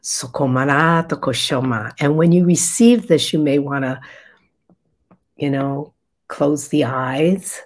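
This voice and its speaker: Slow, raspy older woman guiding meditation. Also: sits low. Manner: slow, soft and meditative, with pauses. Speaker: spiritual guide. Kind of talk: guided meditation with channeled chanting. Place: indoor room at home. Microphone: video call.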